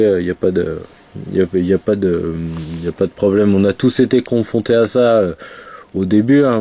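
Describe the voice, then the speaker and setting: Male, congested, casual vlogger, mellow low voice. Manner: rambling, many fillers and restarts. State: has a cold, congested. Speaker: vape reviewer. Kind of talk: casual product review vlog.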